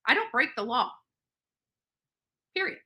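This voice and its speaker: A woman's voice: high-pitched, big pitch swings, a little slow, pushed and bright. A middle-aged woman giving indignant, emphatic commentary straight to camera, repeating phrases for emphasis. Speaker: livestream host. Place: indoor living room on a couch.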